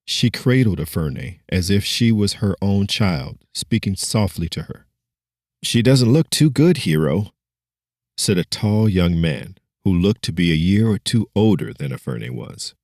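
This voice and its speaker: A man's voice: sits low, lively intonation, measured pace, hardly any pauses, darkish tone, no echo, clean studio sound. A man giving a dramatic scripted narration as an audiobook storyteller.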